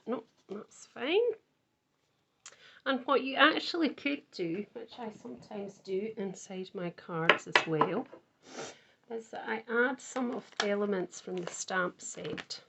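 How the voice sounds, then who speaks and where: Woman, croaky and slow-paced, casual crafting tutor who thinks out loud. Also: mid-range voice, lively intonation, background noise. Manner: chatty, thinks aloud while working. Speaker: card-making crafter.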